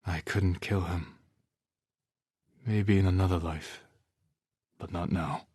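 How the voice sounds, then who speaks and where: An actor playing a stoic, honorable samurai warrior in a video game, with restrained, solemn, reflective delivery and a soft-edged husky voice. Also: male voice, deep voice, fairly even intonation, slow, no echo, clean studio sound, full character voice. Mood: grieving, resolute. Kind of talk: dramatic video game acting, reflective monologue.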